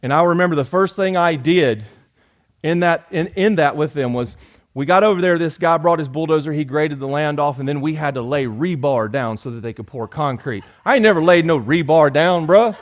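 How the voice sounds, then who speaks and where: A man, preaching a sermon in a folksy, joking way, rising loud and dropping soft. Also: on the high side, brisk. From Georgia. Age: 40s. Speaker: pastor.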